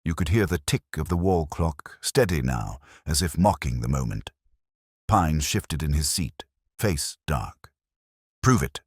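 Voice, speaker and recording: A man narrating a detective story in a dramatic, husky voice. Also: extremely low pitch, animated, a little slow, a little mellow, dry recording, clean studio sound. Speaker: audiobook narrator.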